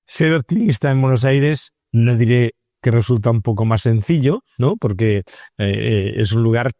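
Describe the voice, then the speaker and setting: Man, dark mellow voice, mid pitch, interviewer, tentative measured phrasing, dry recording. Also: no room sound. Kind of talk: conversational interview questioning.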